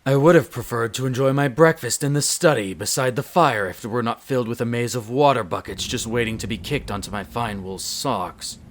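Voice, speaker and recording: A man's voice: mid-range voice, a little fast, few pauses, natural voice. A man playing a haughty, irritable aristocratic vampire master in an audio roleplay, speaking in a curt, condescending, formal manner. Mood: grumbling. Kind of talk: scripted audio roleplay acting.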